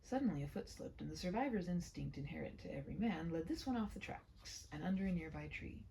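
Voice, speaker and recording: A woman reads her own short story aloud in a low, gravelly, mellow voice. She often drops into a whisper and takes slow, deliberate pauses. A faint hiss sits under the recording. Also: expressive, rough recording. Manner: hushed, often dropping into whispers. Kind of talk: read-aloud of a literary short story, soft and ASMR-leaning.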